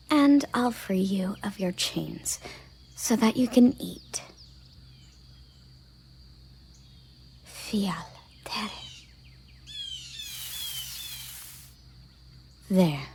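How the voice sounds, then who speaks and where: A woman playing a stern, haughty elven guard captain in an audio roleplay, with a soft, breathy, husky voice and a curt, condescending manner. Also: medium pitch, animated, slow pace, a little hiss or hum. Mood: curt, dutiful. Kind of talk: audio roleplay addressed to the listener.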